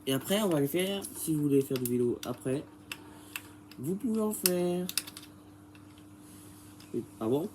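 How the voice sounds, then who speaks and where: A man's voice: on the high side, animated, unhurried, pauses often, background noise. A man with a head cold explaining a bike repair in a casual, hesitant way, full of fillers and restarts. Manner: hesitant and rambling, many fillers. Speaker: bike repair tutorial maker.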